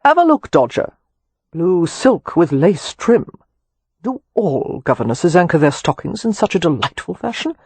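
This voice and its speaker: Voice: mid-range voice, sing-song, a little slow, a little mellow, slightly rough, no echo, heavily acted. A narrator voicing a hot-tempered, sardonic young nobleman in an audiobook. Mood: teasing. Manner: furious, raised voice. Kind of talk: audiobook character voice.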